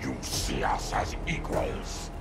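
Savage fanged mutant for a fighting game, bottomless gravel growl, proud, loud music behind. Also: male voice, extremely low pitch, wildly swooping pitch, heavily acted. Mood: challenging, proud. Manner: slow, challenging.

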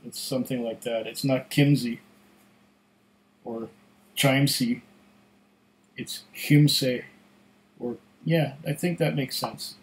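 Slow, musing middle-aged man tasting whisky. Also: medium pitch, fairly even intonation, poor audio. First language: German. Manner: slow, musing, pauses to sniff and sip. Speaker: whisky reviewer. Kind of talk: casual tasting notes. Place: home room with shelves of bottles.

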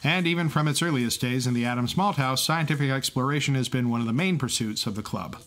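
A male podcast co-host speaks at a middle pitch. His delivery is scripted but conversational, with a playful, wry touch. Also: fast talker, a bit bright. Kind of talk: semi-scripted banter and exposition.

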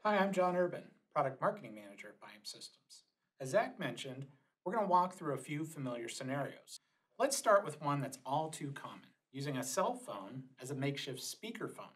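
A man's voice: mid-range voice, sing-song, fairly quick, fairly bright tone, poor audio. A middle-aged man explaining a product in a scripted presentation in a small meeting room. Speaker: product marketing manager presenting a demonstration.

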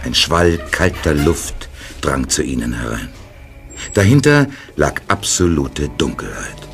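A man narrating a radio play in a calm, measured storytelling manner. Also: extremely low pitch, creaky, faint music behind.

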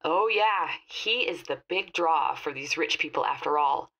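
A woman's voice: sits low, measured pace, bright, forceful tone, exaggerated voice, low-quality recording. A woman playing a helpful, slightly old-fashioned informant in an audio fiction podcast, chatty and confiding.

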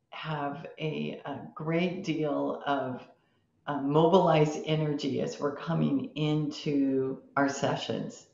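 An older woman hosting a podcast conversation in a measured way, pausing often. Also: low-pitched, gravelly. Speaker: podcast host. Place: home room with bookshelves, video call. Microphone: computer mic on a video call. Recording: dull, echoey video-call sound.

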